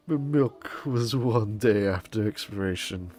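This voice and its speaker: A man's voice: lowish voice, darkish tone. A man giving casual, rambling, laid-back live commentary as he streams a game. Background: faint game music.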